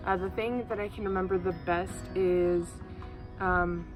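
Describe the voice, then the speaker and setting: Nineteen-year-old woman from Oklahoma, low-pitched. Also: fairly even intonation, measured pace, muffled recording. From Oklahoma, USA. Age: teens. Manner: thoughtful, with frequent ums and uhs. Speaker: interviewee. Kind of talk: casual recorded interview. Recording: music playing underneath.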